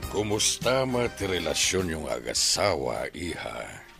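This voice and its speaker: A man's voice: low-pitched, very animated, measured pace, creaky, fairly bright tone, soft background music, exaggerated voice. A man with a Filipino Ilocano accent playing a concerned older father-in-law in a radio drama.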